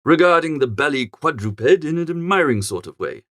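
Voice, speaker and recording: A man reading a storybook in character as a chatty, flustered young gentleman, with a posh, exclamatory, grumbling manner. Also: on the high side, animated, normal speed, no echo, clean studio sound. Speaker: audiobook narrator. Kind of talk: animated first-person storybook narration in character.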